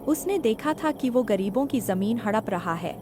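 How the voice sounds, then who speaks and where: Woman playing an anxious, high-voiced mother. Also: lively intonation, normal speed, background noise, natural voice. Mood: grave, sorrowful. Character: anxious, sorrowful mother of a grown son. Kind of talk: animated horror story dialogue. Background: music.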